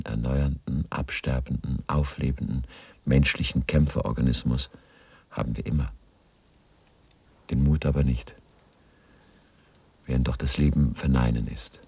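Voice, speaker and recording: Super deep creaky dark brooding voice, solemn radio drama reciting, hushed. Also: male voice, dry recording, recorded from a distance, heavily acted. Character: solemn, brooding male voice of philosophical reflection. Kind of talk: literary recitation in a radio play.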